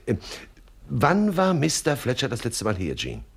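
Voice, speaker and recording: An actor playing a suave, wordy swindler boss with mock-courteous manners in a radio crime comedy. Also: male voice, mid-range voice, wildly swooping pitch, measured pace, creaky, slightly rough, heavily acted. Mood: affable bluffing. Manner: verbose, mock-courteous, hesitant filler words. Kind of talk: 1970s German radio crime comedy acting.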